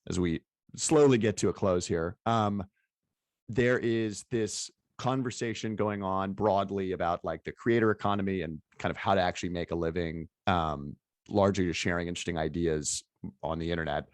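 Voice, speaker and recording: A man's voice: low voice, fairly even intonation, moderate pace, no echo. A man interviewing in a casual, rambling conversational style, circling his way toward each point. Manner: rambling, hedging phrasing with frequent "like". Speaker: interviewer, event host.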